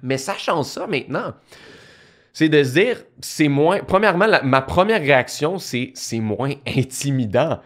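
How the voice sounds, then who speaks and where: A young man with a Québécois French accent, explaining in a casual talking-head video from a small home studio, lively and gesturing, restarting phrases as he thinks. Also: on the high side, measured pace. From Quebec. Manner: animated, gestures a lot, thinks aloud with restarts. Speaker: online course creator. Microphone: studio mic on boom arm beside him.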